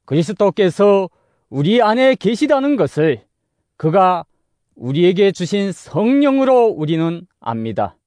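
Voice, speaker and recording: High-pitched, expressive male scripture narrator. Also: unhurried, no room sound. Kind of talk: scripted dramatic audio Bible narration. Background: music.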